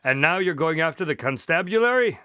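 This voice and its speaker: An inquisitive police consultant in a radio drama. His voice sits a little high and is recorded very dry. He speaks politely and with measured care. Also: lively intonation, bright, forceful tone, clean tone, no room sound. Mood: politely puzzled. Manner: courteous, measured questioning. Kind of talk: radio drama acting and narration.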